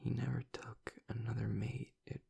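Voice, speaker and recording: A man playing a grieving young werewolf boyfriend, tough but vulnerable, choked up and halting as he confides in an intimate audio roleplay monologue. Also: medium pitch, flat delivery, dark tone, exaggerated voice. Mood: wistful, grieving. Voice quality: breathy, gravelly near-whisper. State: choked up with grief.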